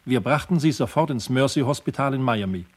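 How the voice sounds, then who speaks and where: A man playing a sober first officer of a freighter, giving measured, factual testimony in a radio play. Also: mid-range voice, animated, lightly acted. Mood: sober, grave. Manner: measured, factual, short declarative sentences.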